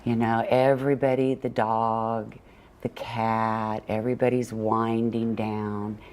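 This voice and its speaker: A woman's voice: rumbling low, little pitch movement, slow pace, darkish tone, slight background noise. An older woman answering conversationally as an interview guest, in a plainspoken, storytelling way. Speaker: interview guest, children's book author and illustrator. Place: TV morning show interview.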